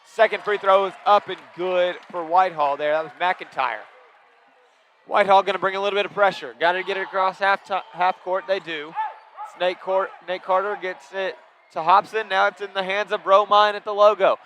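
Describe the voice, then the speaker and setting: A man calling high school basketball play by play, quick and excitable on big plays. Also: slight background noise. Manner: rapid, excitable calls rising on big plays. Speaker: play-by-play announcer.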